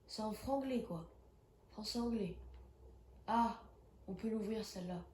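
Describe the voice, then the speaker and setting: A woman's voice: mid-range voice, a little slow, warm tone, roomy sound, a little hiss or hum. A young woman with a cold, chatting casually and reacting with jumpy exclamations as she plays a horror game. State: has a cold. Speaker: gaming streamer. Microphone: phone.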